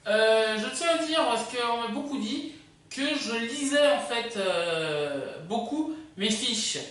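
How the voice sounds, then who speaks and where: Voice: medium pitch, animated, slow pace, fairly bright tone. A video host presenting a countdown casually and unscripted, rambling and hesitant, often drifting into asides. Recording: echoey room, distant microphone.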